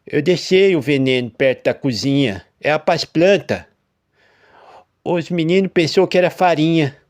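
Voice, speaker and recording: A man's voice: a little high, unhurried, voice pushed up. A man voicing a dead farmer's ghost who stammers in plain country speech. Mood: guilty. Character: guilt-ridden dead male farmer ghost, rustic.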